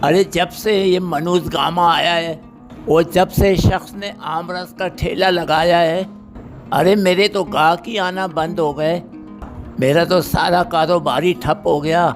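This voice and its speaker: A woman's voice: fairly low pitch, fairly even intonation, slow pace, pitched below the performer's own voice. A woman voicing a greedy, selfish male juice seller in a cartoon story, grumbling resentfully. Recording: cartoon voice-over with music.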